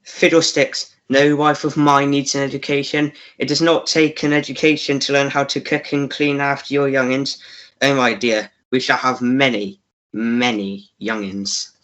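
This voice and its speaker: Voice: mid-range voice, level tone, normal speed, fairly bright tone, natural voice. An actor playing an oily, pushy male villain wooing in a melodramatic radio play, smarmy and domineering. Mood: dismissive, pushy. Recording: dull lo-fi recording.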